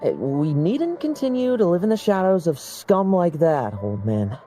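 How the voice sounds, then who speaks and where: A woman's voice: on the low side, very animated, moderate pace, dark tone, soft background music. An actress playing a wry young knight woman in a game, delivering dry, teasing quips. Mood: reassuring. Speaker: game character.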